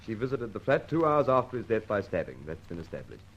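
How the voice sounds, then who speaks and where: An actor playing a dogged, formal, firmly polite police inspector in an old-time radio detective serial. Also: male voice, on the low side, sing-song, fast, dull audio, a little hiss or hum. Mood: firm, accusing. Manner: formal, insistent.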